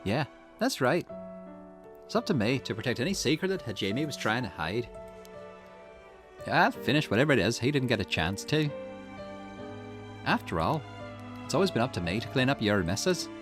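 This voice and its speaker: A man's voice: mid-range voice, big pitch swings, normal speed, pauses often, music in the background, natural voice. A man voicing a tough, grieving young woman in visual novel game dialogue, with an indignant, dramatic delivery. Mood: defiant resolve.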